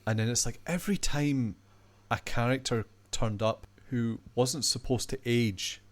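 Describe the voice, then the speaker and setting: A British man in his forties, a podcast guest chatting casually, animated and ironic, with some swearing. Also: mid-range voice, measured pace. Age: 40s. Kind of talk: casual chat.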